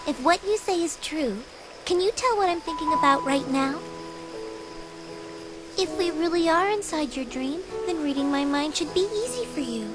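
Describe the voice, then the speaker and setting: A woman voicing a gentle, wistful young girl with magic powers in a video game, soft and wondering. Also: high voice, normal speed, lightly acted. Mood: curious, playful. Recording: background music under voice.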